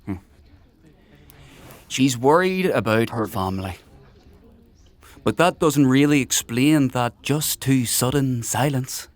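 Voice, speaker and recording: An actor playing a menacing, paranoid military paragon in a dark fantasy audio drama, mock-friendly and needling in his drawn-out questions. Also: medium pitch, close to an everyday voice. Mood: needling suspicion.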